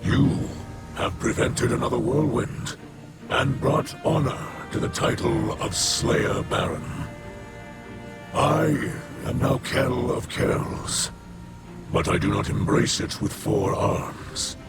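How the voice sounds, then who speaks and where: A man's voice: mid-range voice, animated. An actor playing a weary, solemn four-armed alien king in a game, stately and formal in his speech. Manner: stately, measured. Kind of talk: formal, grave game dialogue. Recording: loud music under the voice. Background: music.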